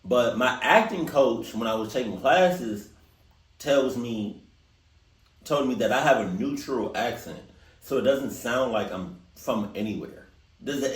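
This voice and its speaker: Man, Maryland accent, reaction host, casual, animated, roomy echo, off-mic. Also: medium pitch, recorded from a distance. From Maryland, USA. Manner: animated, laughing, talks straight to viewers.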